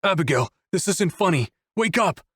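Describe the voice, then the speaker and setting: Man acting a desperate, grief-stricken man, voice pitched high. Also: lively intonation, normal speed, a bit bright, slightly rough, dry recording, studio quality, heavily acted. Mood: frantic grief. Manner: screaming, pleading, breaking up with grief. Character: desperate grieving man, pleading with his unconscious lover.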